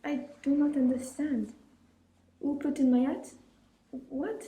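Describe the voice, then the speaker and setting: A teenage girl playing a shy young French woman with a French accent, speaking slow, careful broken English. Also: high-pitched, fairly even intonation, dark tone, reverberant. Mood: puzzled. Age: teens. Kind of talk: school radio play.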